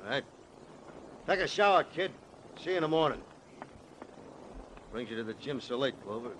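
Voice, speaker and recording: An actor playing a tough, weary boxing-gym boss with punchy streetwise delivery in an old-time radio crime drama. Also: male voice, mid-range voice, lively intonation, moderate pace, a bit bright, lightly acted. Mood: wry, subdued. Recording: slightly noisy vintage radio recording.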